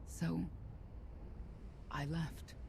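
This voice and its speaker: An actress playing a regretful middle-aged mother and stern veteran knight, speaking in measured, solemn phrases in a video game cutscene. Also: low-pitched, little pitch movement, gravelly, noisy background, heavily acted. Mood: pained, grave. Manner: measured, solemn, deliberate storytelling in short phrases.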